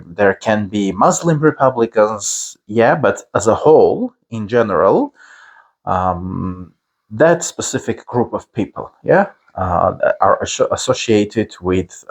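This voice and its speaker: Armenian-accented male teacher, slow deliberate delivery, pausing often on fillers. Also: fairly low pitch. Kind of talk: explanatory lesson to camera.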